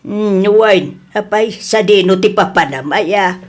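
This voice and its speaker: A woman with an Ilocano accent acting as an expressive, easily scandalised older mother in an audio drama. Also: medium pitch, slow pace. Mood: concerned. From Philippines.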